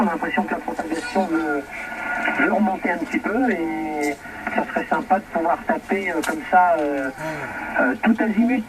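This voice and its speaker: A chatty, rambling man from Champagne-Ardenne in France, talking casually as an amateur radio operator. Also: sits high. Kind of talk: casual ham radio chat. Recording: crackly, noisy radio transmission. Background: electrical crackle and static.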